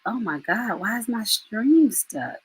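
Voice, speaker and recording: A middle-aged woman hosting a live online stream, chatty and affectionate, with quick asides. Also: fairly high pitch, sing-song, breathy edge. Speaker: host and soul mentor.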